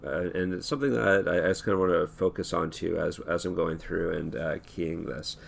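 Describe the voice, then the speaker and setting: A hoarse man talking through an animation tutorial in a relaxed, thinking-aloud way, with many fillers and restarts. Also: sits low, fairly quick, a little hiss or hum. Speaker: animation instructor. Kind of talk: screen-recorded tutorial talk.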